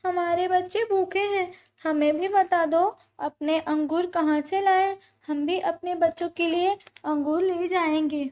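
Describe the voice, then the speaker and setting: A greedy, sly crow wife from a children's moral cartoon, put on by a woman. She pleads and wheedles in a very high voice, pitched above normal talk. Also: fairly even intonation, a little slow, few pauses, a little mellow. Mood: wheedling.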